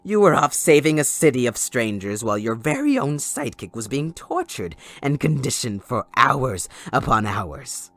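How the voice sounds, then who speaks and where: Wildly swooping, gleefully unhinged supervillain. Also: male voice, mid-range voice, very animated, moderate pace, few pauses, no echo. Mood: gloating, menacing. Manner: theatrical, taunting, mock-sweet, swinging between hushed menace and outbursts. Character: sadistic, theatrical male supervillain kidnapper, possessive and gleefully unhinged. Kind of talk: dramatic audio roleplay monologue.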